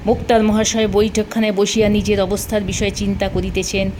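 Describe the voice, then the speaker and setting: Female storyteller, mid-pitched voice, expressive literary narration, noisy ambient recording. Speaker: storyteller, reader of a short story.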